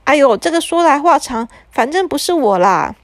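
A little boy from a bedtime storybook, played by a woman, whines and pleads in a high, lifted voice. His Taiwanese Mandarin accent comes through in every drawn-out complaint. The sound is close and dry. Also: expressive, no echo. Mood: protesting. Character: whiny young boy caught in trouble.

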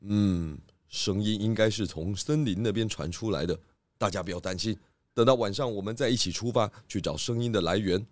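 A man with a Taiwanese Mandarin accent voicing a calm male pig detective in a children's audio drama, measured and good-humoured. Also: fairly low pitch, no room sound, clean studio sound, natural voice. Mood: calm, reasoning.

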